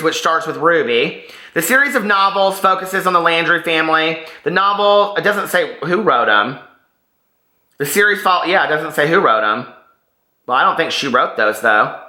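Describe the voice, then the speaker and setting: Bright-voiced American man, chatty and emphatic. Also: a little high, moderate pace. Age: 40s. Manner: animated, gossipy, emphatic, often repeating himself for effect. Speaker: book vlogger and host. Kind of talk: casual chatty vlog with bits read aloud. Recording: roomy, echoing indoor sound.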